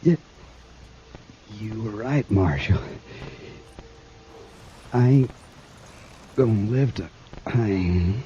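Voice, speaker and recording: An American actor playing a desperate, defiant outlaw in a 1950s radio western, with a strained way of talking. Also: male voice, on the low side, drawn-out words, pauses often, warm tone. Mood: weak, resigned. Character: desperate, defiant male Old West outlaw. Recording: dull, faintly noisy old radio recording.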